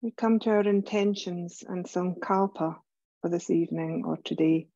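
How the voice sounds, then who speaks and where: Woman, guided meditation teacher, mellow tone, unhurried, gentle, soothing, dry muffled recording. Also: fairly low pitch, steady tone, dry recording. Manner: gentle, soothing, measured with pauses. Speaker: yoga nidra meditation teacher.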